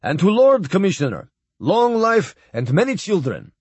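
A man's voice: high voice, huge pitch swings, normal speed, darkish tone, slightly rough, no room sound, voice pushed up, heavily acted. A man voicing a proud, formal old admiral who speaks in clipped phrases without articles. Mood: ceremonious. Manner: clipped speech dropping articles.

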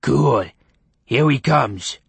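A man voicing a guileless, cheeky talking pet hound in an audiobook, plain-spoken and eager, with a gravelly voice. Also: mid-range voice, expressive, drawn-out words, dry recording, voice pushed up, full character voice. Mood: indignant, eager. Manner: plain-spoken, naive, easily offended. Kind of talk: audiobook character acting.

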